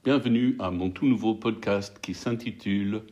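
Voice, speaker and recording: A man's voice: on the low side, moderate pace, background noise. A man in his sixties hosting a podcast in a humorous, self-mocking monologue, speaking a second language with small slips. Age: 60s. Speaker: podcast host. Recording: voice over loud guitar music. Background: loud guitar music.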